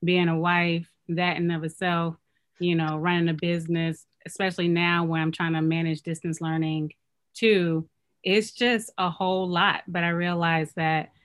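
Chill woman from oakland, kinda low voice, soft and easygoing, laughs a bit. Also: level tone, moderate pace. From Oakland, California. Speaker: clothing business owner and designer. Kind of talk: casual interview chat.

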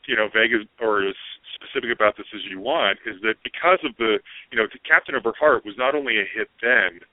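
A man's voice: fairly low pitch, fast talker, no echo. A man hosting a casual podcast interview, affirming his guest and asking roundabout, informal questions. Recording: narrow phone-like band, dry.

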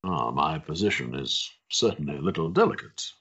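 An actor playing a genial, gossiping older Victorian society doctor, with fussy, hesitant, pompous politeness in a period stage drama. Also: male voice, rumbling low, animated, moderate pace, bright, forceful tone, breathy edge, lo-fi sound, full character voice. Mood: tactful.

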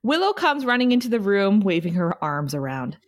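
A woman's voice: medium pitch, very animated, fairly quick. Woman narrating a script's stage directions. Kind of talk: scripted narration at a table read.

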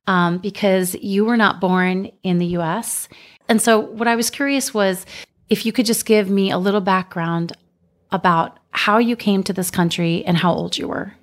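A woman speaking as a podcast host, introducing a guest and asking questions in an interview. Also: lowish voice.

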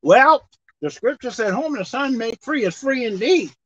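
A woman preaches a sermon in a high, swooping voice that rises and drops sharply. She works the congregation with call-and-response and acts out dialogue as she goes. The recording is dull and dry. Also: lively intonation, moderate pace, fairly bright tone, breathy edge.

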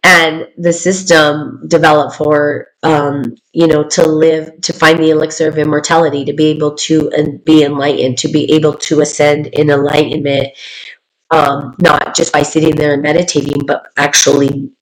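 A woman with a deep voice, talking loudly in a rambling, casual spiritual chat full of fillers and laughter. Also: level tone, normal speed, low-quality recording. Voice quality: self-described deep voice. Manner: loud.

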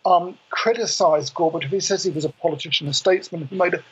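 A man working as a correspondent, giving halting, unscripted news analysis over a lo-fi phone line. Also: a little high, fairly bright tone, a little hiss or hum. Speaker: foreign correspondent.

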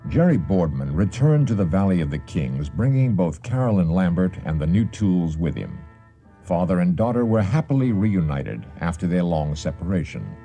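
A man acting as a measured, formal storyteller narrating a scripted radio drama. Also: sits low, very animated, dark tone, faint music behind. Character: measured, dignified male storyteller.

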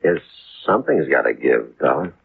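Tough American crook, low and raspy, terse, menacingly casual, vintage narrow radio sound. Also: male voice, big pitch swings, normal speed, exaggerated voice. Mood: cold menace. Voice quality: gravelly, raspy. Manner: terse, slangy. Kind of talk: 1950s radio crime acting. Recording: muffled, narrow-band vintage 1950s radio.

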